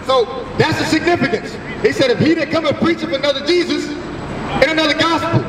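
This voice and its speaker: Street preacher, man, high voice, commanding call-and-response, echoey street with a crowd, caught from far off. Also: brisk, noisy background. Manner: forceful call-and-response questioning. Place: city sidewalk outdoors.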